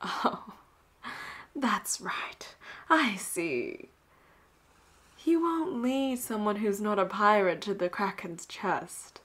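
A woman acting a flirty, dominant and cocky female pirate captain in a roleplay audio drama, teasing and breathy, often dropping toward a whisper. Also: medium pitch, lively intonation, takes it slowly, long pauses, fairly bright tone. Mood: smug, flirty. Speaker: voice actor.

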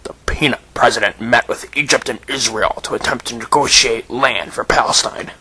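A man's voice: medium pitch, moderate pace, bright, forceful tone, slightly rough, poor audio. A young man reading scripted narration for an amateur history skit.

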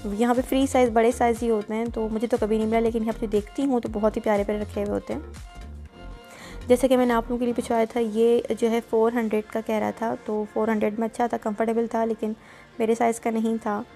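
Chatty female vlogger, mellow tone, light music underneath. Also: fairly high pitch, level tone, fast talker. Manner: chatty, explains prices step by step. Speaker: vlogger touring a market. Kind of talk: casual voice-over narration.

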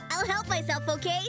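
A woman voicing a cute, eager, excitable little boy in a children's cartoon dub. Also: extremely high pitch. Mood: curious, friendly. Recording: loud music behind the voice.

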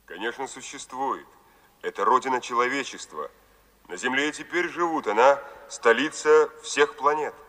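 An actor playing an attentive, businesslike male operator from the future in a Soviet radio play, brisk and official. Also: mid-range voice, a bit bright, lightly acted. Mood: earnest, persuasive.

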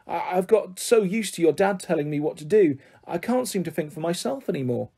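A woman's voice: fairly low pitch, animated, brisk, vocal fry, mellow, breathy edge, exaggerated voice. A woman voicing an anxious, snappish but loving mother in a storybook. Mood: anxious, fond.

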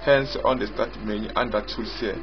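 A man giving an unscripted software walkthrough as its developer, explaining haltingly and repetitively in short phrases. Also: on the low side, fairly even intonation. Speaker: software developer demonstrating own app. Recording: dull lo-fi audio with loud music. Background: loud music.

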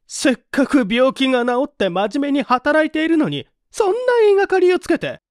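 A man's voice: extremely high pitch, big pitch swings, a little fast, no echo, studio quality, pitched above the performer's own voice. A man voicing an indignant, defiant family member protesting an accusation in a story reading. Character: indignant mother and son protesting police accusation.

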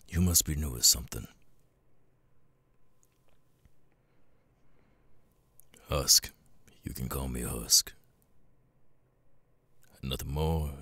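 A man voicing a gruff, world-weary cat demon bartender in an ASMR audio roleplay, raspy and laconic, with casual swearing and long pauses. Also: pushed and bright, no echo, heavily acted. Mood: gruff, guarded. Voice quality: raspy, very deep gravelly voice. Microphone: close, dry studio mic.

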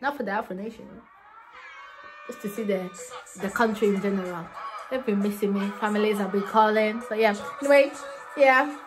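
A woman's voice: mid-range voice, moderate pace, long pauses, music in the background, poor audio. Playful young woman chatting to camera. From Ghana. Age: young adult. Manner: playful, animated, laughing often. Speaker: vlogger. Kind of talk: casual chat to camera. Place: small room indoors.

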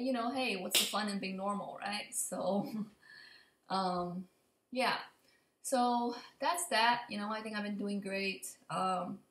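A young woman talks casually to her computer at home, feeling her way through an unplanned update. Her voice is a little raspy from allergies and often drops into creak. She speaks slowly and hesitantly, and the room adds a clear echo. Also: medium pitch, fairly bright tone. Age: young adult. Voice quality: slightly raspy. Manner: hesitant, halting, rambling. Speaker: vlogger. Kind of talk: unscripted casual update to camera. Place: indoor open kitchen and living room. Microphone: computer mic at desk distance. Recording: echoey room, computer mic.

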